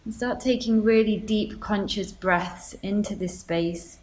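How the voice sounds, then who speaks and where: A woman leading a guided meditation, gently giving instructions with deliberate pauses. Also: medium pitch, steady tone, low-quality recording.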